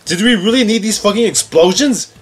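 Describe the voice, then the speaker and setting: A man's voice: high voice, a little fast. Sarcastic man ranting over music. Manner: sarcastic, incredulous, swearing. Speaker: host of a video game countdown video. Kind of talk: informal countdown commentary. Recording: music playing behind the voice. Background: background music.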